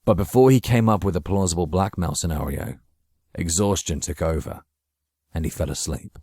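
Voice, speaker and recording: A man's voice: low-pitched, moderate pace, mellow, breathy edge, no room sound, clean studio sound. A man narrating a dramatic story from a script as an audiobook reader.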